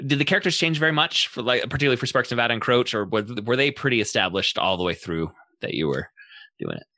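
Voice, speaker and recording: A man hosting a casual interview, speaking haltingly as he thinks his questions through aloud. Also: mid-range voice, animated, pushed and bright, no echo. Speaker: podcast host.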